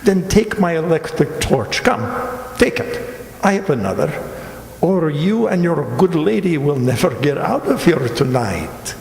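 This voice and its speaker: An actor playing a sly, shabby fat Italian stranger in a radio drama, with an Italian accent and a courteous, theatrical, mock-humble manner. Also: male voice, fairly high pitch, big pitch swings, gravelly, darkish tone, full character voice. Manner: mock-humble, ornate, teasing, deliberate speech. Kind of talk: amateur radio drama acting. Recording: very echoey reverberant hall.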